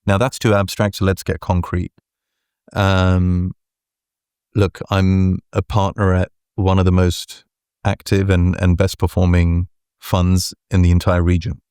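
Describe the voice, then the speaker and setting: Deep-voiced man, slow measured answers. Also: completely flat delivery, darkish tone, clear, resonant tone, dry recording, studio quality. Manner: measured, deliberate, frequent "right?" and "look". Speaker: venture capital partner, guest. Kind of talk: reflective interview answers.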